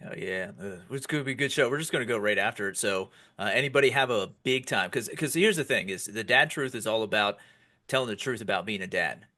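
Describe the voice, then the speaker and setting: A man's voice: lowish voice, fast talker, bright, forceful tone. A man in his forties with an American accent, hosting a casual podcast in a blunt, informal way and directing the group. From York, Pennsylvania, United States. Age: 40s. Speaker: podcast host.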